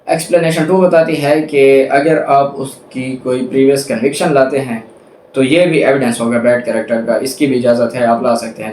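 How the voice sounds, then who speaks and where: A man's voice: mid-range voice, a little mellow. A man with a Pakistani accent giving an explanatory lecture in a didactic way, repeating points for emphasis. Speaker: law lecturer. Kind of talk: explanatory lecture, reading statutes and paraphrasing them. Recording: echoey room with faint background noise.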